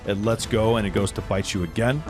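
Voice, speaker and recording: Male game master, lower register, vivid improvised storytelling with dramatic description, light music underneath. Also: fairly quick. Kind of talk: improvised tabletop game narration.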